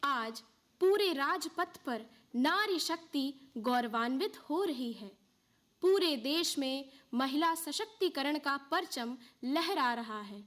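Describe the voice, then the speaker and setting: Female stage narrator, high pitch, slow poetic declamation from a script. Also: lively intonation, a bit bright.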